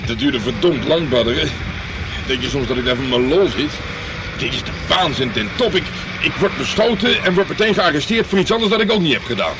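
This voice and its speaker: Man playing an animated, indignant hapless detective. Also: a little high, a little fast, background noise, close to an everyday voice. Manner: exasperated, pleading, protesting. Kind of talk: radio drama acting.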